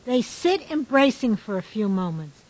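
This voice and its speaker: Female narrator for a radio play, reading stage directions, pitched a little high. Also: big pitch swings, normal speed, darkish tone, low-quality recording.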